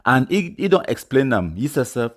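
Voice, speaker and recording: Male online commentator, Cameroonian accent, mid-pitched and very lively in intonation, emphatic informal storyteller. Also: normal speed. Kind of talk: informal storytelling commentary in Pidgin mixed with English.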